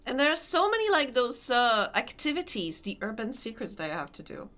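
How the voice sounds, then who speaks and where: A young woman with a Polish accent chats with her viewers while gaming, laughing often as she answers comments. Her voice sits a little high and swings widely in pitch. She talks in a loose, casual way. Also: sing-song, fairly quick, fairly bright tone. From Poland. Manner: chatty, laughs often, reads and answers chat. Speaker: streamer. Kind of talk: casual gaming stream chat.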